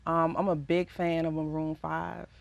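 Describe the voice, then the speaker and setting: Low raspy woman, dry close sound. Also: level tone, no echo. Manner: reflective, pauses with frequent ums. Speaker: recording artist guest. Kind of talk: casual interview answers.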